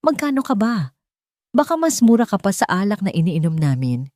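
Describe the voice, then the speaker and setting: A woman's voice: medium pitch, sing-song, normal speed, a little mellow, no room sound, clean studio sound, pitched above the performer's own voice, lightly acted. Woman voicing a haughty, venomous rich fiancée. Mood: venomous, furious. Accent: Filipino. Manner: condescending, mixing English into Tagalog.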